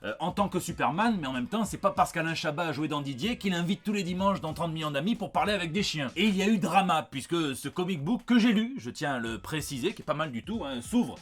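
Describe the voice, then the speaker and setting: Higher-pitched French man, wry comics host. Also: lively intonation, fast talker, few pauses, faint music behind. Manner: wry, joking, chatty. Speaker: host of a comic book news show. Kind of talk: scripted news review with conversational asides.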